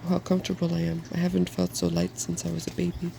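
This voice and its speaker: A soft-spoken storybook reader voicing a frank, earnest and weary dragon. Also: mid-range voice, completely flat delivery, fast talker, vocal fry, a little hiss or hum. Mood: excited, relieved.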